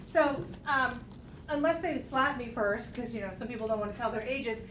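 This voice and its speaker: A woman's voice: fairly high pitch, normal speed, fairly bright tone. A woman teaching a math class, playful and jokey as she works through examples aloud. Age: 50s. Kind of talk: classroom lecture. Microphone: distant room mic. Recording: echoey classroom, distant mic, noisy.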